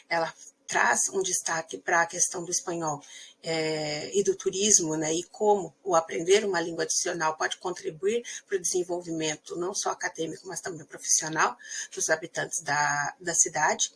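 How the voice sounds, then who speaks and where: A woman's voice: mid-range voice, vocal fry, a bit bright, low-quality recording. A young woman presenting a research text for a class, hesitant, with many fillers and restarts. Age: young adult. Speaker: student presenter.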